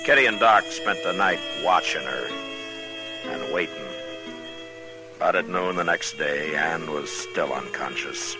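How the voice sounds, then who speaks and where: A calm American frontier marshal speaks in a low, deep voice with few words to spare. It is old-time radio western acting, on a vintage recording with music. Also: male voice, a little slow. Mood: somber, measured. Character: calm, laconic frontier US marshal, grown man. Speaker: lead actor and narrator.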